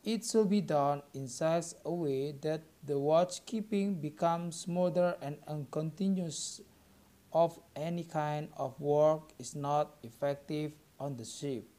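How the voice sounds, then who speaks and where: A young man with an Indonesian accent reads a scripted student presentation aloud, halting word by word. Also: on the high side, dark tone. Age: young adult.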